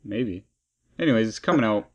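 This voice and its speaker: A man's voice: lowish voice, expressive, brisk. A man co-hosting a podcast, chatting casually and explaining gaming news in an informal, bantering way. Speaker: podcast co-host.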